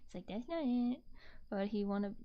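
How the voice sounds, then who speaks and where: A woman streamer with a soft, gentle, often whispery voice, chatting casually to viewers in an ASMR style close to a binaural mic, musing aloud. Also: mid-range voice, animated, moderate pace, highs cut off. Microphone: close binaural ASMR microphone.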